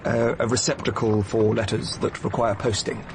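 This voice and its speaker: A man's voice: on the low side, little pitch movement, normal speed, background noise, natural voice. A man playing a reserved English diplomat. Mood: polite. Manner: polite, hesitant, formal phrasing. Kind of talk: BBC radio drama acting.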